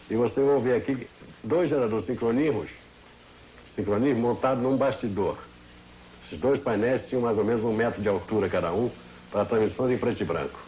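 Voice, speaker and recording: A man's voice: fairly low pitch, a little mellow, rough recording. An older Brazilian man, a veteran engineer, giving a detailed, didactic technical explanation in an interview. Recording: faint noise, old TV broadcast.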